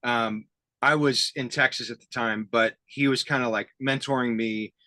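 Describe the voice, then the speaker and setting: A man with a bright, forward voice and a mid-range pitch hosts a relaxed interview. He talks in a halting, self-deprecating and enthusiastic way. The sound is dry and close, with hardly any room. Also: no echo. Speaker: interview host. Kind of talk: casual conversational interview about tape gear.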